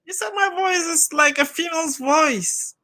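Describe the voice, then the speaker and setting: High-voiced man with a gravelly, bright edge. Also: moderate pace. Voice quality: high-pitched voice. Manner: defensive, protests loudly. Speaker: livestream guest. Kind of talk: casual livestream chat.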